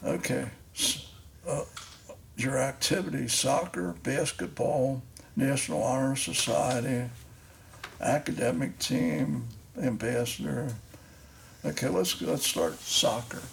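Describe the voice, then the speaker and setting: Gravelly older man hosting a podcast. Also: mid-range voice, sing-song, slight background noise. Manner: halting, many restarts and fillers. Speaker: podcast host. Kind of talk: casual interview.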